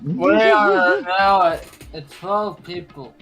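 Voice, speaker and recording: A man with a high voice, joking casually among friends. Light music plays behind the game chat. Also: slow pace.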